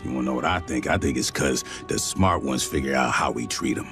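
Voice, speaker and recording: A man's voice: very deep voice, fairly quick, over music. A man playing a reflective soldier in a video game, speaking in a wry, plain-spoken, musing way. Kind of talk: scripted video game acting.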